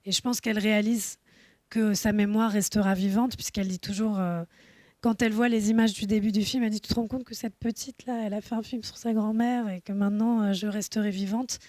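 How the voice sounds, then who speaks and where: Woman director in her 30s, reflective panel guest, dry close mic, frequent pauses. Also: medium pitch, fairly even intonation, warm tone, no room sound. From France. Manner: thoughtful, hesitant with many fillers. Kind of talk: panel discussion. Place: festival panel.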